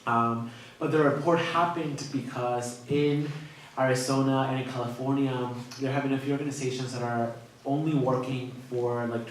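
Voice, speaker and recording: A man's voice: mid-range voice, slight background noise. Man explaining from far in an echoey hall. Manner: explanatory, casual, many "right?" tags. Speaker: panelist, organizer. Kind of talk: panel discussion.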